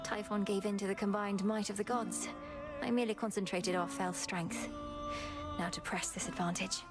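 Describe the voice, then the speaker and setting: A woman voicing a solemn, determined young witch goddess in a video game, with formal, measured, archaic phrasing. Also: medium pitch, steady tone, lightly acted. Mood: solemn, resolute. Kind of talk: scripted video game voice acting. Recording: music behind the voice.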